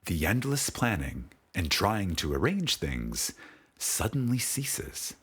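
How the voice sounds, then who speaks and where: A man with a husky voice reads a spiritual audiobook in a solemn, deliberate manner with dramatic pauses. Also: sits low, big pitch swings, a bit bright. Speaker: audiobook narrator. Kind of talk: scripted spiritual audiobook narration.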